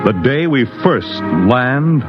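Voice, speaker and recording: A man's voice: medium pitch, slow pace. A man narrating as a 1950s radio drama announcer, grave and portentous in manner. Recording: muffled vintage radio broadcast. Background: loud dramatic music.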